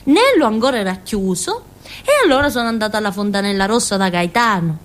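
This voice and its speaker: A woman's voice: mid-range voice, animated, fast, close to an everyday voice. An actress playing a naive, indignant village fool with a southern Italian accent, telling her story in a rambling, emphatic, colloquial theatrical monologue. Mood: agitated. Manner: childlike, rambling, emphatic, seeking agreement with tags. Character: naive, indignant village fool, a chatty Calabrian young woman.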